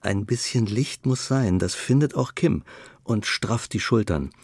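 A man narrating a bittersweet family radio play, understated and wry, with a creaky voice. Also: on the low side, measured pace, darkish tone, no room sound, studio quality. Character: male storyteller of a bittersweet family tale.

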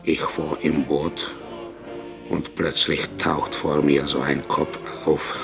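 An actor playing a hard-drinking, servile island boatman in a German radio drama, halting and wary, repeating himself in a deep, gravelly voice. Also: level tone, music in the background, exaggerated voice. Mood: spooked, secretive.

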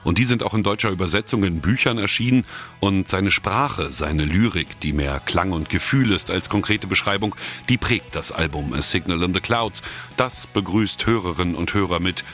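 A man's voice: low-pitched, normal speed, a bit bright. A man hosting a regional music program, interviewing guests and narrating features. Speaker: radio show host. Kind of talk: interviewer and scripted magazine narration.

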